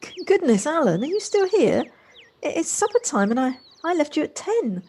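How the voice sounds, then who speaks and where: A woman's voice: high-pitched, sing-song, moderate pace, a little mellow. A woman playing a polite, effusive, wistful character in an amateur audio drama. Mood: apologetic, courteous. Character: Josie, wistful, polite, effusive woman rediscovering childlike freedom. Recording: faint noise, home-recorded on personal device.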